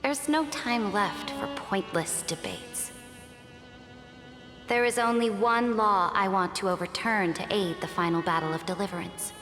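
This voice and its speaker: A woman's voice: medium pitch, a little slow, fairly bright tone, close to an everyday voice. A woman playing a stern, resolute ruler in a video game, speaking with grave, imperious deliberation. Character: commanding, solemn female ruler, resolute and stern. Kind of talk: video game story dub acting. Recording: echoing hall reverb, clear music behind.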